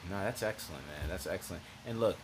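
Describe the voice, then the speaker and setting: A man's voice: sits low, fast talker. A young man hosting a podcast interview, affirming his guest with frequent "right" and "mm-hmm" and asking long, winding questions. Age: young adult. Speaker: podcast host. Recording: background noise.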